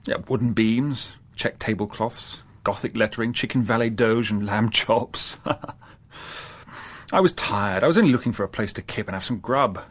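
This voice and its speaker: An actor playing an insolent young English suspect in a radio drama, flippant and evasive. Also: male voice, lowish voice, normal speed, close to an everyday voice. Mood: insolent, offhand. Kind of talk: naturalistic radio drama acting.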